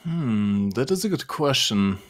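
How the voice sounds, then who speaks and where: A young man from Germany, a native German speaker, answering interview questions thoughtfully, with pauses and restarts. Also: medium pitch, sing-song. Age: young adult. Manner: thoughtful, hesitant, with frequent fillers and restarts. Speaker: interview guest. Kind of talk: casual interview.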